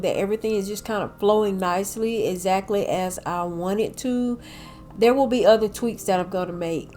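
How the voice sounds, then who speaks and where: A woman's voice: mid-range voice, darkish tone. Older woman, cold-nasal, chatty decor vlogger voice-over, faint music bed. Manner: chatty, addresses viewers as y'all. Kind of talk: casual voice-over narration.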